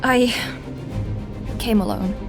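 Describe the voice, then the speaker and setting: An actress playing a guarded undercover inquisitor in a fantasy audio drama, with a polite, careful way of speaking. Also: female voice, a little high, very animated, slow, music in the background, exaggerated voice. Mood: defiant, cornered. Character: guarded undercover female inquisitor posing as businesswoman.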